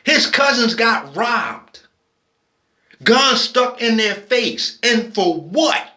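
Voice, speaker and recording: A late-forties man, talking in a very high, bright voice. He is impassioned and preachy, speaking slowly and often restarting his sentences. The sound carries a roomy echo. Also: sing-song. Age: 40s. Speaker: vlogger. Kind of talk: personal video commentary.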